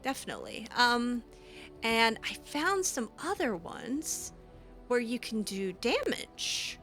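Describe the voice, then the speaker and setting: A woman's voice: fairly high pitch, big pitch swings, slow pace, a bit bright, soft background music. A woman playing a video game, improvising chatty, hesitant and effusive roleplay commentary in character. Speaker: video game player and host.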